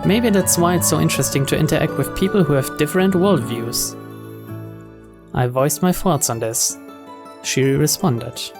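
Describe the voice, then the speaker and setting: A man's voice: a little high, moderate pace, gravelly. A man hosting a let's play, reading a visual novel's narration aloud. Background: game music.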